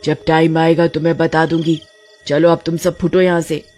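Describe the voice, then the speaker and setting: A woman's voice: deep voice, flat delivery, moderate pace, darkish tone, faint music behind, pitched below the performer's own voice, natural voice. A woman voicing a fierce snake-bodied female witch who cackles and threatens. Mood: dismissive.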